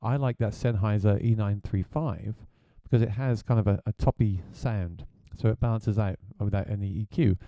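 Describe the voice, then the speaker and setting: A man with a deep voice, a gigging musician, chatting plainly through a gear review. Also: moderate pace, hardly any pauses, no room sound, lo-fi sound.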